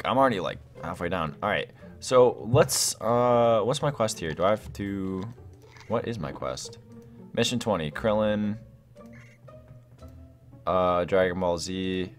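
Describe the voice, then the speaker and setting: A young adult man hosts a gaming video with a lively, widely swinging voice that sits a little low. He talks casually and excitably in slang, with exclamations, over background music. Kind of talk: casual gameplay commentary.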